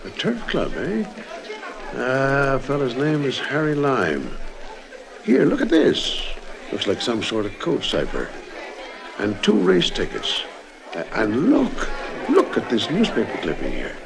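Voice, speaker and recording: An actor playing an eager, gullible American tourist in a 1950s radio drama, informal and excitable. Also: male voice, medium pitch, measured pace, vocal fry, a crowd in the background, full character voice. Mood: curious, excited.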